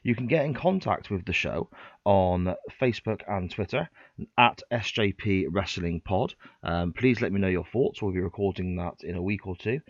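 A man with a lowish voice hosts a podcast, sounding congested from a cold. He chats politely and fills pauses with ums. His voice sounds dry and close. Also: animated, no room sound, lo-fi sound. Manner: chatty, polite, frequent ums. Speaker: podcast host. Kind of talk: casual interview chat.

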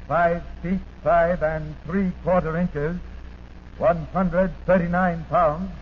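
A man reading a scripted 1940s radio police bulletin in deliberate, clipped items. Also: high voice. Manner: deliberate, clipped listing with repetition. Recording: tinny noisy 1940s radio recording.

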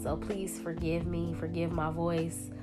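A woman's voice: lowish voice, completely flat delivery, a little slow, music in the background. A young woman with a congested voice from a cold, hosting a solo podcast in a blunt, profane, casual way. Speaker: podcast host.

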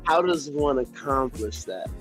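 A man's voice: medium pitch, expressive, a little slow, over music. A young man from North Florida, a rapper guest chatting casually on a podcast with many fillers. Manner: laid-back, rambling, heavy fillers.

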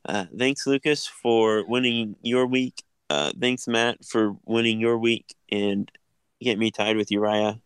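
A man's voice: on the low side, steady tone, a little slow, fairly bright tone, clear, resonant tone, dry recording. A man hosting a casual sports podcast, talking in an easygoing way with lots of fillers and restarts. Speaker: podcast host.

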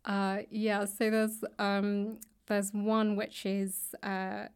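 Female guest, British accent, average pitch, casual conversational delivery. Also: completely flat delivery, extremely slow, clear, resonant tone. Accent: British English. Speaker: guest, author and actor. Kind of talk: casual interview chat. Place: indoors in a public library room.

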